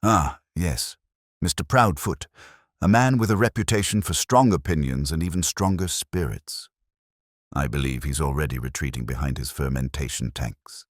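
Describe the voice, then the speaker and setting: A man voicing a mild, dry-witted middle-aged police inspector who speaks quietly and without hurry. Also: a bass voice, expressive, normal speed, no echo.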